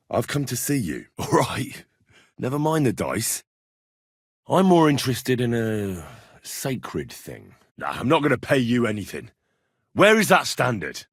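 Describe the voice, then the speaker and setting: Husky, animated young male adventurer. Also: mid-range voice, no room sound, clean studio sound. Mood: bargaining, wry, then irritated, confrontational. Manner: blunt, earthy, sweary, conversational. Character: plain-spoken young medieval adventurer, blunt, bargaining, quick to threaten. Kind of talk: video game dialogue acting.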